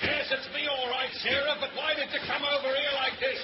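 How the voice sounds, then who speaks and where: A man's voice: very high voice, flat delivery, fairly quick. An actor playing a bossy, worried Australian country father in a 1930s radio serial, speaking urgently and giving orders. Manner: urgent, commanding. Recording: muffled, noisy 1930s radio recording.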